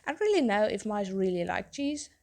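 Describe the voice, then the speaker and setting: Hoarse young woman rambling about her drawing, gravelly and mellow, keeps cutting herself off. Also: mid-range voice, very animated, a little fast. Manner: rambling, chatty, self-interrupting. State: losing her voice, sick. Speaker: tattoo artist and vlogger. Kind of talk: casual chat while drawing.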